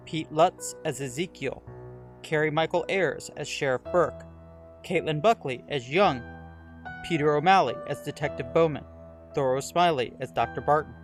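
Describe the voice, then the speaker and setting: A man reading scripted show credits and announcements as an announcer. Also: fairly high pitch. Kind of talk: scripted narration. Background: music.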